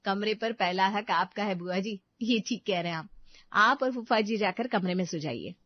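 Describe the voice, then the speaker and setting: A woman's voice: medium pitch, moderate pace, dry recording, muffled recording, natural voice. A woman voicing a dutiful, emphatic young daughter-in-law in a family drama. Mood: eager, respectful. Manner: earnest, emphatic.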